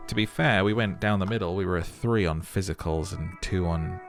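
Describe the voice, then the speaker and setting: A man with a hoarse voice, chatting through his gameplay in a self-mocking way. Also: deep voice, a little fast, soft background music. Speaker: let's play host. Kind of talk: gameplay commentary and narration.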